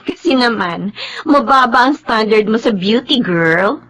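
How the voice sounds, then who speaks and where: A vain, selfish young sister in a Filipino radio drama. Her voice is fairly high and swings widely in pitch. She is sassy and dismissive, tossing English into blunt, colloquial talk. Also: lively intonation, measured pace, a bit bright, slight background noise, low-quality recording. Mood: breezy, self-satisfied.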